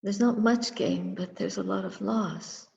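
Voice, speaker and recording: A woman, a Buddhist meditation teacher, giving a guided reflection in a contemplative, measured manner, in short phrases with long pauses. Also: medium pitch, vocal fry, darkish tone. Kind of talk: guided meditation and dharma talk. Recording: muffled recording with long reverberant echo.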